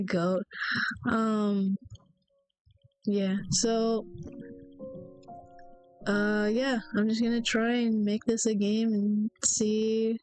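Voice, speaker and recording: Slow, hesitant young woman with a stuffy nose. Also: on the high side, little pitch movement, a little mellow. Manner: rambling, hesitant, many fillers. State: stuffy nose, sore throat. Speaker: solo game developer streaming. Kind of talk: casual stream chat. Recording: faint music behind.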